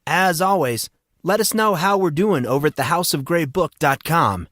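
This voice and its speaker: A man's voice: fairly high pitch, normal speed, a bit bright, no room sound, studio quality. A man hosting a podcast, giving a breezy, informal scripted introduction. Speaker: podcast host. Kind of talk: casual scripted intro and recap. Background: music.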